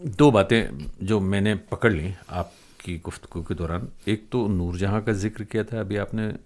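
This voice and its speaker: A man's voice: fairly low pitch, moderate pace, darkish tone. A man hosting an interview in a conversational style, picking up on points and putting them to the guest. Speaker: interviewer, host.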